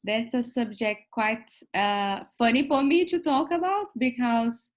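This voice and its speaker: A woman's voice: a little high, unhurried. A woman in her thirties, not a native English speaker, co-hosting a casual chat and speaking hesitantly with many fillers and restarts. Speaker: co-host.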